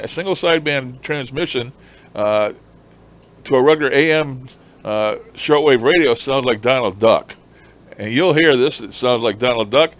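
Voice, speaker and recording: A man gives a casual, unscripted demonstration as a hobbyist, explaining things and repeating himself. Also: medium pitch. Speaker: amateur radio hobbyist, presenter. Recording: clear steady background noise.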